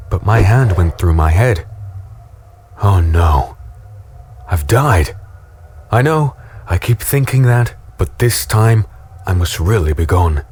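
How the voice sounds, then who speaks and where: A man playing a battered, weary human in a dark fantasy audio drama, wry and fatalistic as he tells his story. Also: fairly low pitch, slightly rough, exaggerated voice. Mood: bewildered, wry.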